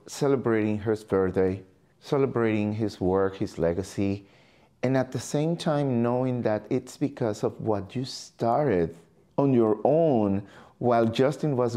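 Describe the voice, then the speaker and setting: Very mellow, dark-voiced interviewer. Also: medium pitch, unhurried. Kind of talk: reflective, celebratory interviewing.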